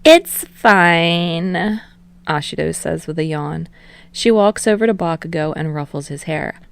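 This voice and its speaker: A woman's voice: low voice, normal speed, gravelly, darkish tone, clear, resonant tone. A woman reading fiction aloud as scripted audiobook narration.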